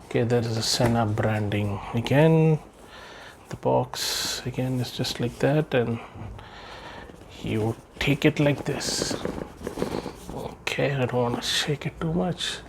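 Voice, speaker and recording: A man's voice: mid-range voice, slow, gravelly, pauses often, noisy background. A man doing a casual unboxing vlog indoors, thinking aloud with lots of fillers as he handles the product. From India. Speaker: unboxing vlogger. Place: indoors, room with patterned floor rug.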